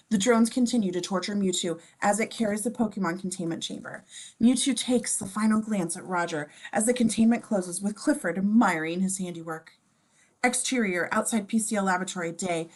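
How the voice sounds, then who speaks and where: A woman's voice: medium pitch, fairly quick, poor audio. A woman reading scene descriptions as narrator in a table read of a script.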